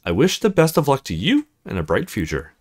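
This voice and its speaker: A man voicing a small, timid, earnest young woman from a visual novel in a gentle, apologetic manner. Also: mid-range voice, huge pitch swings, a little fast, slightly rough.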